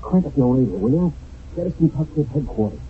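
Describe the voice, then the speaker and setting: Actor as terse army sergeant, tinny radio sound. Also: male voice, on the high side, animated, quick pace, lightly acted. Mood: urgent. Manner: terse, urgent, giving orders. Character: weary, commanding American army sergeant leading a patrol in wartime. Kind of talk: radio drama acting. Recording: muffled, noisy old radio broadcast.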